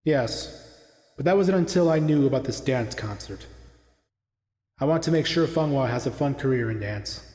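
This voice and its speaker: A man's voice: mid-range voice, animated, normal speed, a little mellow, natural voice. Guy acting a laid back earnest fighter dude in an audio drama, really echoey hall sound. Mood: calm, earnest. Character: laid-back loyal adult man, challenge-loving fighter. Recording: echoey, long hall reverb.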